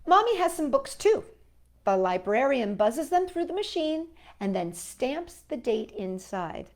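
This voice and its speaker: A woman with a fairly high voice reads aloud for young children at a leisurely, drawn-out pace. She is expressive with the story and adds simple, chatty asides and questions for her small listeners, as a storytime host does.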